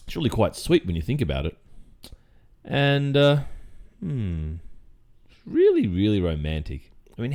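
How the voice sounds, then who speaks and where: Man acting a deep-voiced, creepy obsessive Australian boyfriend. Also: a little mellow, full character voice. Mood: defensive, sweet. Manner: halting, drawn-out phrasing with hesitant murmurs and nervous chuckles. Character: creepy obsessive kidnapper boyfriend, sweetly possessive and delusional. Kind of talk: ASMR roleplay, intimate monologue addressed to listener.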